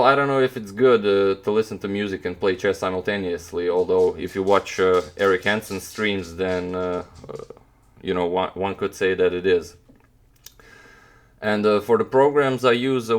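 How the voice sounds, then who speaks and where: Young Croatian man, deep voice, halting casual streamer chat. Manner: hesitant, many fillers and restarts. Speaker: chess streamer answering chat.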